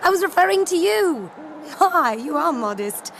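A woman voicing a polite, admiring young female race car who flatters the hero. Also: very high voice, normal speed, slight background noise, full character voice. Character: friendly young female race car fan named Emma.

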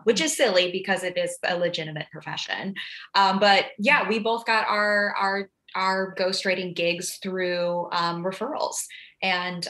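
A woman's voice: mid-range voice, a bit bright, low-quality recording. A woman talking casually as a podcast guest, sprinkling her explanations with "like". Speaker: podcast guest, ghostwriter and audio fiction producer. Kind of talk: casual interview chat.

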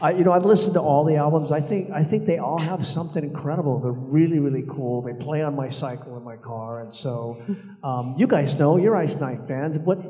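A man's voice: fairly high pitch, fairly quick, mellow. Enthusiastic rambling man on a panel. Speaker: panel guest. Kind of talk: casual panel chat. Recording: convention hall reverb.

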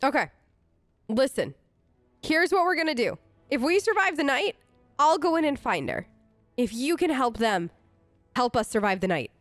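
A woman with a high voice, improvising as her video game character. She is chatty and informal, recorded dry and close, with faint music underneath. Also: very animated, moderate pace, long pauses, a bit bright, no echo. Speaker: let's player role-playing her character.

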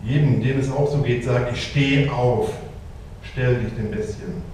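A man recites a slam text with a dark, mellow voice, intense and rhythmic. He is heard from far off in a strongly echoing bar room with faint noise behind. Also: medium pitch, unhurried. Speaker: slam poet. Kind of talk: poetry slam performance. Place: bar stage with audience. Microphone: handheld mic, far camera.

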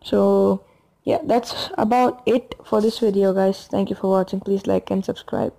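A young woman giving a casual phone tutorial in a hushed, low-key late-night voice. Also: steady tone, unhurried, creaky, rough recording. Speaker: gaming channel host.

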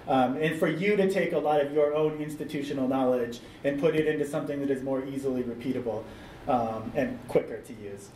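A man's voice: on the high side, a little mellow. A man on a discussion panel giving a thoughtful answer with frequent ums, recorded in a meeting room. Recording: roomy, distant mic, faint noise.

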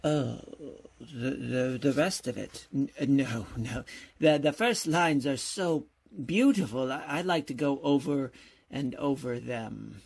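A woman's voice: a bass voice, slow, gravelly, a little mellow, husky, heavily acted. A woman playing a blustering, flustered older male college professor who stammers and fusses, in old-time radio comedy style. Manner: stammering, fussy, backpedaling.

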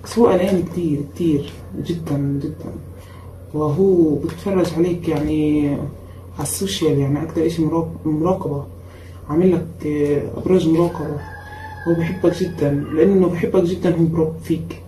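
A woman giving a casual tarot reading in a tired voice, repeating words for emphasis and pausing often with fillers. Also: sits low, fairly even intonation. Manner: repetitive and emphatic, with frequent pauses and fillers. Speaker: tarot reader. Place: indoor room at a table with cards and a candle. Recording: echoey room with faint background noise.

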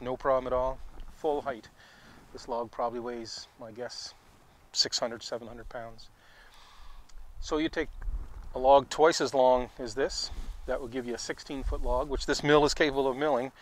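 A man in his forties, a sawmill owner, talking casually to the camera outdoors in a wooded yard, relaxed and practical in manner. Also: mid-range voice. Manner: relaxed and practical, explains with hands. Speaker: sawmill owner and video host.

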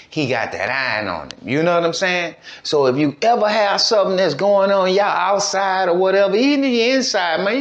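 A man's voice: fairly high pitch, a bit bright, muffled recording. A man hosting a reaction show, speaking in casual slangy commentary with repeated, admonishing phrases. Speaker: reaction podcast host.

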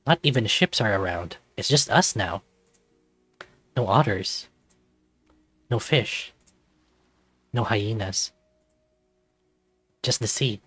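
A man's voice: on the low side, lively intonation, slow pace, pauses often, fairly bright tone, breathy edge, no echo, faint music behind. Man narrating a story, dry close sound. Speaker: let's-play narrator. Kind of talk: scripted visual novel narration read aloud.

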